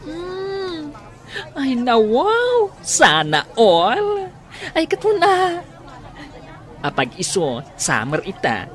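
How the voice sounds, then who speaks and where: A woman with an Ilocano accent playing a nosy, excitable market vendor in an audio drama. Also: sits high, drawn-out words, some chatter behind, heavily acted. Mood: excited. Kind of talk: Filipino audio drama acting.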